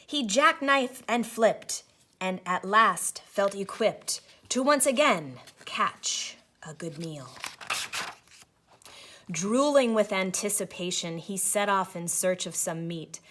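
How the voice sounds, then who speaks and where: A woman teacher reading a children's storybook aloud in an expressive narrating style. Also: mid-range voice, slow, a little hiss or hum.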